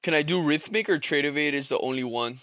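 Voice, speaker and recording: A man's voice: fairly high pitch, fast talker, a bit bright, no echo, muffled recording. A young man hosting a casual trading live stream, laid-back and slangy, talking to his chat. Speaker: livestream trader and host.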